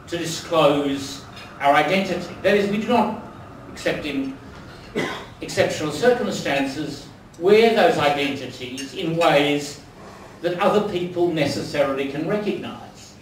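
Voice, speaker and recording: A man's voice: high-pitched, a little hiss or hum. An older man with an Australian accent giving a wry, anecdotal after-dinner speech in a large dining room. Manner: wry, anecdotal, with many ums. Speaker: dinner speaker. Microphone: distant mic. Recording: echoey hall from far off.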